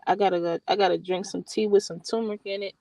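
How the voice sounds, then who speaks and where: Soft-spoken tired woman, stuffy. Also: mid-range voice, fairly even intonation, fast talker, vocal fry, no echo. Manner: soft-spoken, rambling with restarts. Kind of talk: casual late-night chat.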